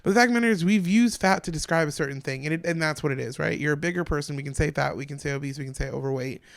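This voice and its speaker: A woman with a deep voice, a stand-up comedian talking frankly as a podcast guest in casual conversation. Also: creaky. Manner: frank, talks fast in long run-on explanations.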